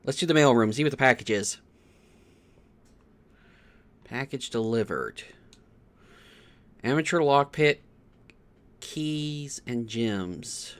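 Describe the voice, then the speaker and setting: Male game streamer, mid-pitched, casual think-aloud delivery with muttered, repeated words. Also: slow, long pauses, fairly bright tone, clean tone.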